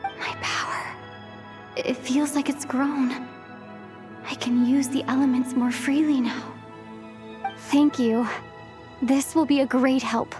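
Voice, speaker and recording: An actress voicing a kind, curious young female adventurer in a video game, with a gentle, unhurried delivery. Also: high-pitched, steady tone, faint music behind. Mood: curious, grateful. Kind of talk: video game cutscene acting.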